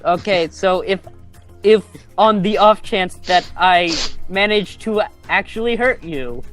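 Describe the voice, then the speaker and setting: A man playing a tabletop game, chatting casually in a hesitant, halting way. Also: sits high, a bit bright, soft background music. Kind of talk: casual chat in a game session.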